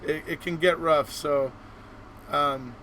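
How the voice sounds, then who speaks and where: A man's voice: fairly high pitch, steady tone, slow pace, bright, forceful tone, a little hiss or hum, poor audio. A man hosting a live online show, chatting casually in an encouraging, rambling way. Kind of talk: casual interview chat.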